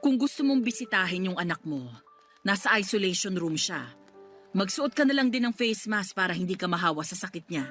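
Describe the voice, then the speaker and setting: A woman's voice: medium pitch, animated, a little fast, a bit bright, faint music behind. A woman playing a pleading, practical and bossy loyal friend in a radio drama. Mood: urging, firm. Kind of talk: Tagalog radio drama acting.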